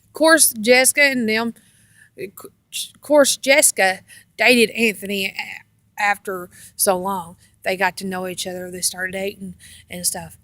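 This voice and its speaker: A woman casually telling rambling personal stories in a halting, meandering way, often repeating herself. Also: medium pitch, steady tone, pushed and bright, no room sound. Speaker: storyteller on own video channel.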